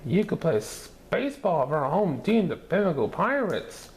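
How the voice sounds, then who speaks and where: Man acting excited little kid, storybook character, raised pitch, swooping delivery, faint background noise. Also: moderate pace, vocal fry. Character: eager young children pleading and boasting.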